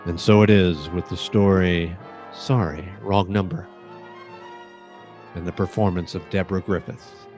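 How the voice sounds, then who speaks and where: A man playing an ominous, theatrical announcer, introducing a radio suspense tale with portentous pauses. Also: sits low, steady tone, lo-fi sound, over music. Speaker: host and narrator.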